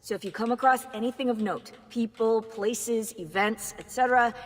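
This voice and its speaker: An actress playing a friendly, enthusiastic tech expert in a video game, speaking informally. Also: female voice, on the high side, normal speed, close to an everyday voice. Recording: long reverb, music behind.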